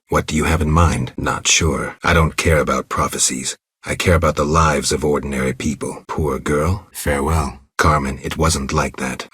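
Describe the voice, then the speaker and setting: A man playing a stoic, weary monster hunter in a video game, terse and deadpan, with a raspy voice. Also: no room sound, clean studio sound, heavily acted. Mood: quiet, subdued. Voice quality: raspy, gravelly low voice. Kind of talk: video game character dialogue.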